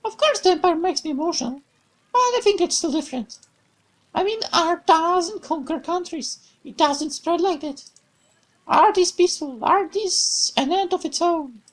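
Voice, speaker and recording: A bubbly young woman from a story game chatters playfully with bright exclamations, as a woman puts her on. Her pitch leaps up and down a lot. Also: lively intonation, normal speed, rough recording.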